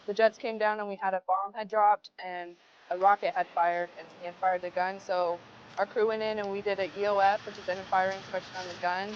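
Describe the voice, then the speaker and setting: A woman's voice: medium pitch, little pitch movement, fairly quick, highs cut off, slight background noise. A young woman, an air force crew member, explaining her job in an interview.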